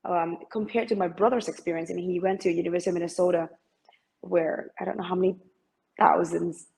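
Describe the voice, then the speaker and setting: A woman's voice: lowish voice, fairly even intonation, fast, vocal fry, darkish tone, poor audio. A middle-aged woman from Malaysia, a masters swimmer talking as an interview guest, hesitant with many fillers and restarts. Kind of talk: interview conversation.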